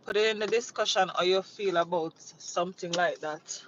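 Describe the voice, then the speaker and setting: A woman's voice: fairly low pitch, normal speed, highs cut off, slight background noise. A woman from Jamaica, chatting casually on a livestream, rambling and repeating herself as she greets viewers from inside a vehicle. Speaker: livestream host. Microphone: phone mounted on dashboard.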